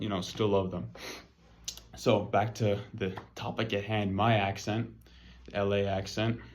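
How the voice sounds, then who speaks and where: Slow, low-voiced LA guy. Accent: Los Angeles. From Los Angeles. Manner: casual, slangy, with many fillers. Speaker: student presenter. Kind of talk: class presentation.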